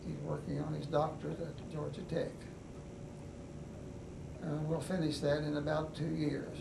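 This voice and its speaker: Halting older West Virginian man, gravelly. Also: fairly high pitch, little pitch movement. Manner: unhurried, halting, many pauses and fillers. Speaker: veteran interviewee. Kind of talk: oral history recollection. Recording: echoey room, mic at a distance, noisy.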